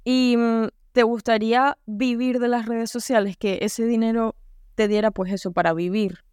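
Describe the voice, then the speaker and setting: A young woman with a Canarian accent hosts a casual podcast chat, friendly and agreeable with casual slang. Her voice is exceptionally clear and resonant, mid-pitched, recorded close and dry. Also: steady tone, moderate pace, darkish tone, dry recording. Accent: Canarian Spanish. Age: young adult. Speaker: podcast host.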